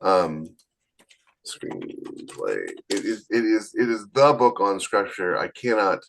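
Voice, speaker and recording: A man's voice: fairly low pitch, very animated, takes it slowly, vocal fry, fairly bright tone, a little hiss or hum. A man co-hosting a casual writers' podcast, talking emphatically and giving firm advice. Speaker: podcast co-host. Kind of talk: casual panel chat.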